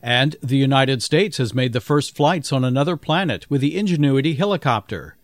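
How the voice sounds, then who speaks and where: A man hosting a radio news program with a smooth announcer delivery. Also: mid-range voice, no echo.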